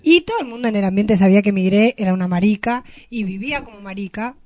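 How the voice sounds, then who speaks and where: A woman's voice: medium pitch, animated, fast, a little mellow, no room sound, studio quality. A woman with an Argentine accent chatting casually as a radio co-host, explaining things in an irreverent way. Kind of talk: casual chat.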